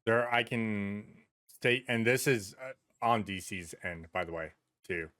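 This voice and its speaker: A man's voice: lowish voice, measured pace, bright, forceful tone, no room sound. Guy talking on a podcast, blunt and sweary, repeats himself building a point, very dry sound. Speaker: podcast co-host. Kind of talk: casual chat, anecdotes and opinions.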